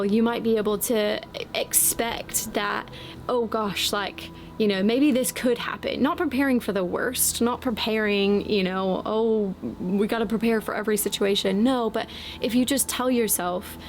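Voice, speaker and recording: A woman in her late twenties, talking slowly and thoughtfully in a casual vlog with frequent ums, outdoors in the wind. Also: medium pitch, creaky. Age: late 20s. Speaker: vlogger. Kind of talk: reflective casual vlog talk. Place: outdoors, windy.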